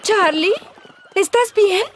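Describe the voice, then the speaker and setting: High-voiced, tender cartoon mother. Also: slow. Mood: admiring. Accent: Latin American Spanish. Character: warm-hearted, grateful mother of a cartoon town. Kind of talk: animated series dub.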